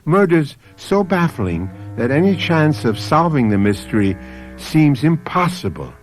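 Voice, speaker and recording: Male host for radio mystery: theatrical, scripted narration, highly varied intonation, suspenseful, faint music underneath. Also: big pitch swings, unhurried.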